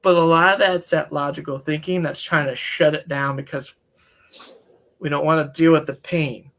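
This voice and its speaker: A man talking as a counselor in a casual, candid discussion, with a relaxed, folksy manner. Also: fairly high pitch, moderate pace. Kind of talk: casual podcast-style discussion.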